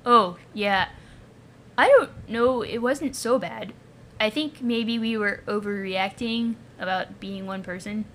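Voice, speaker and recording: A woman's voice: mid-range voice, slow pace, a bit bright, voice pushed down. A woman voicing a meek, insecure young male ghost troll, tentative and mild, often trailing off. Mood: unsure.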